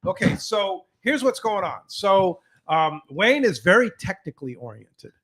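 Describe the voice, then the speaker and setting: A man co-hosting a radio talk show with a soft voice, talking in a relaxed, rambling way. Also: high voice, a little slow, rough recording. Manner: relaxed, rambling, many fillers. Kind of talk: casual radio banter. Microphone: studio microphone.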